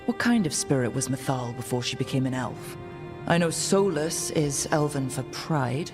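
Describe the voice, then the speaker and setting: A man's voice: medium pitch, animated, moderate pace, natural voice. A man playing an earnest young elven adventurer in a fantasy game, speaking plainly and directly. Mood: curious. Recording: music under the voice.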